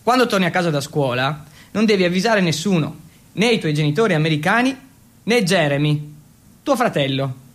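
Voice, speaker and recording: A man's voice: high voice, animated, a little fast, a bit bright. A man performing a theatrical monologue as a teenage exchange student, telling his story and listing things with relish. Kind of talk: dramatic monologue in the second person.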